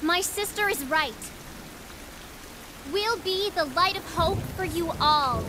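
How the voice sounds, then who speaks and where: A woman's voice: extremely high pitch, lively intonation, takes it slowly, noisy background, full character voice. A woman playing a sweet, earnest young princess, the little sister, in a video game. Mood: earnest, hopeful. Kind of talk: video game cutscene acting.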